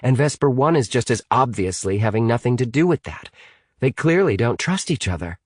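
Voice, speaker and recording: A man's voice: medium pitch, animated, brisk, dry recording, clean studio sound, pitched above the performer's own voice, natural voice. A man voicing an earnest, decisive teenage girl who leads her friends in an adventure audiobook. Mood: explaining. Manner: urgent, reasoning, decisive.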